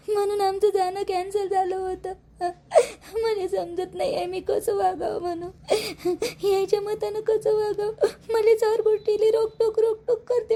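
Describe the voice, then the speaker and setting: Woman acting a tearful, very high-pitched young bride. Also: mellow. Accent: Vidarbha Marathi. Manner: plaintive, complaining. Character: tearful young newlywed daughter-in-law, hurt and stifled. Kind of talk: rural family cartoon dialogue.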